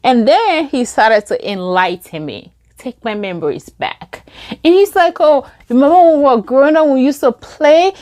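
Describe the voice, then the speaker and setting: Young Liberian-accented woman vlogger, casual storytime, fairly high voice, animated delivery. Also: moderate pace. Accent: Liberian English. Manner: animated, acts out quoted lines in a higher voice. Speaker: beauty vlogger. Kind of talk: casual storytime while doing makeup.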